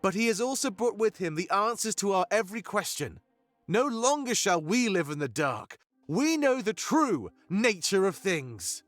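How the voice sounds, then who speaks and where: An actor playing a fervent rebel leader in a fantasy video game, giving grandiose, oratorical speeches. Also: male voice, sits high, very animated, moderate pace, a bit bright, no echo. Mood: earnest, rousing. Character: fervent male rebel leader, an anthropomorphic animal rallying his fighters. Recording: music behind the voice. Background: music.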